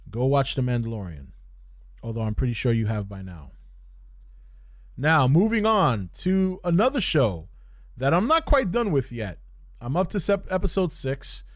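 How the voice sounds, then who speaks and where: A man hosting a podcast, giving casual unscripted review commentary in a halting way, repeating phrases for emphasis. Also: medium pitch, dry recording, clean studio sound. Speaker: podcast host reviewing TV shows.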